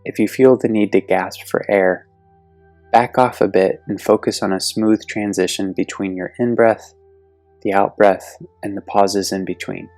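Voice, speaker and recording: Man, very low voice, meditation guide, soothing, long pauses, dry close sound, soft music behind. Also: vocal fry, no echo. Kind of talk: guided yoga nidra meditation.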